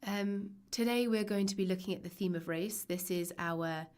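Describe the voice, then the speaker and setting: A young woman teaching a live online lesson, her voice raspy and hoarse from a cold, chatty and reassuring with frequent ums. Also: mid-range voice, normal speed, a little mellow. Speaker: literature teacher.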